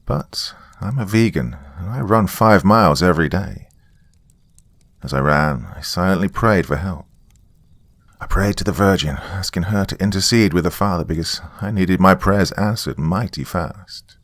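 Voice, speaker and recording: A man with a deep, low voice narrates a scripted story in the first person. His delivery is that of a dramatic horror storyteller. The sound is dry, with very little room around it. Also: normal speed, a bit bright, dry recording.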